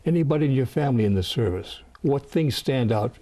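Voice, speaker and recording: A man's voice: medium pitch, expressive, a little fast, mellow. An older American man hosting a television interview in a folksy, encouraging way that mixes formal phrasing with slang. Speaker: host and producer of a veterans interview show.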